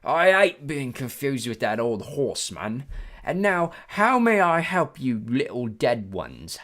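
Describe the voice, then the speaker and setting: A man's voice: mid-range voice, very animated, a little slow, fairly bright tone, voice pushed up. A man voicing a tall, elegant, coldly greedy ferryman of the dead in an audiobook, with a British accent and a condescending, mock-sweet manner.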